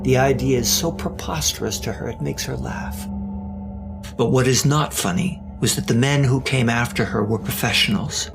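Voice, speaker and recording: A man's voice: medium pitch, gravelly. A man narrates a scripted thriller drama in a mid-range voice. He tells the story with a wry edge, while prominent music plays behind him.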